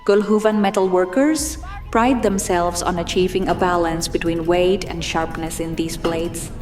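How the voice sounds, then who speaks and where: A woman narrator giving a measured, formal scripted reading of fantasy lore, in documentary style. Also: fairly low pitch, fairly even intonation, darkish tone, echoey room, faint music behind.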